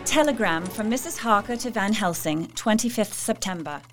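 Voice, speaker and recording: An actress playing an earnest, anxious young Victorian wife, confiding in a proper manner as in a dramatised diary. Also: female voice, mid-range voice, expressive, normal speed, over loud music, natural voice. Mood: businesslike.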